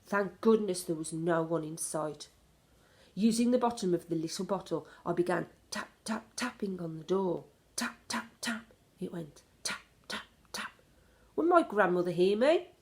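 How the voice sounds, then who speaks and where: A woman reading a children's story aloud in an expressive narrating style. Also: fairly low pitch, moderate pace. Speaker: storybook reader.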